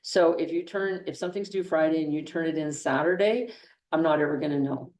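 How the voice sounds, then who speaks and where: A woman's voice: deep voice, brisk, a little mellow. A woman instructor giving a conversational classroom lecture, often restarting sentences and checking in with "okay". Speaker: engineering instructor. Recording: echoey classroom, from far off.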